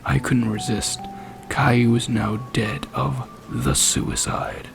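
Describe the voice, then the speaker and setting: Super gravelly guy doing slow dramatic scornful story rants, music in the back. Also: on the low side, sing-song. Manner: deliberate, foul-mouthed, scornful. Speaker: creepypasta narrator and reviewer. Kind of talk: dramatic reading and ranting review. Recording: music playing behind the voice. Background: background music.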